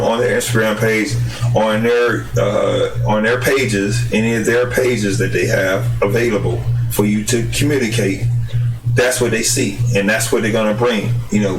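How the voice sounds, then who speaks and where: A tired, hoarse man rambling through casual game commentary from the United States, with lots of fillers and restarts. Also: on the low side, distant mic, slight background noise. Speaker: gaming channel host. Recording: roomy, off-mic with music behind.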